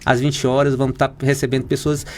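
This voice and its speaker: A man, an oncologist from Minas Gerais with a Brazilian accent, explains things in a halting way, full of fillers and restarts. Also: medium pitch, level tone, darkish tone, a little hiss or hum. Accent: Brazilian Portuguese, Minas Gerais. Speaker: oncologist guest. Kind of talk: explanatory interview talk.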